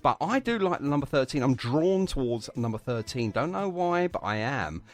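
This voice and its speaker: A man's voice: mid-range voice, sing-song, moderate pace. A man hosting a live radio interview in an effusive, jokey way, chatting to guests. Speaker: radio host.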